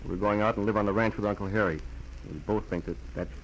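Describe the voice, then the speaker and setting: Casting: male actor for lovestruck, insecure American kid brother, lowish pitch, halting delivery, vintage radio play with surface noise. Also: fairly even intonation, dull audio, close to an everyday voice. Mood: resolved. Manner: hesitant, stammering. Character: self-doubting, lovestruck kid brother of a businessman. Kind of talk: 1940s American radio drama acting. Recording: noisy old 1940s radio recording.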